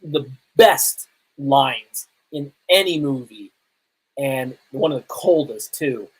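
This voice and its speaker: A man hosting a podcast, reviewing movies casually in an emphatic, halting way with pauses for effect. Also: medium pitch, animated, breathy edge, rough recording. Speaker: podcast host.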